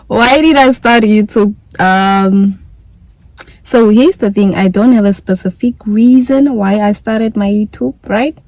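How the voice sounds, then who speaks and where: A woman's voice: medium pitch, measured pace, a little mellow, dry recording, low-quality recording. A young woman from Namibia with a Namibian English accent, chatting casually in a vlog Q&A and repeating herself often. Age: young adult. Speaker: YouTuber.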